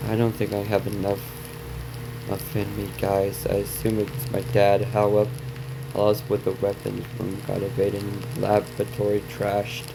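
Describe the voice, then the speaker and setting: A man's voice: on the low side, creaky, low-quality recording. A man reading a story aloud as a reaction video host, stumbling over and repeating words, with asides to viewers.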